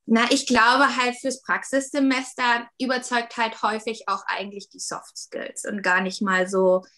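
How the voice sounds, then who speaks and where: A woman's voice: fairly high pitch, little pitch movement, moderate pace, bright, forceful tone. A woman in her thirties, a 3D artist talking casually in an interview, with lots of fillers and hedges. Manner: chatty, many fillers and hedges.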